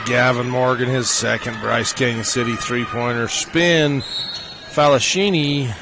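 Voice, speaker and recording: A man calling play-by-play at a high school basketball game, rattling off names and rising on made shots. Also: fairly even intonation. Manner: rapid name calls, rising on made shots. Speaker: play-by-play announcer. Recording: game broadcast with gym noise. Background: crowd and court noise of the game.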